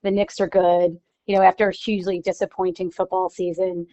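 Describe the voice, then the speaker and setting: A woman's voice: on the low side, level tone, fairly quick, warm tone. A woman speaking casually as an interview guest, candid and informal, thinking aloud as she goes, on slightly lo-fi audio. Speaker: newspaper columnist, interview guest.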